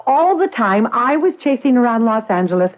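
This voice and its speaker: An actress playing an anxious, distraught wife searching for her husband in a 1970s radio drama, speaking in a halting, urgent way. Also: a little high, sing-song. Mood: earnest, urgent. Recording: dull old radio recording with music.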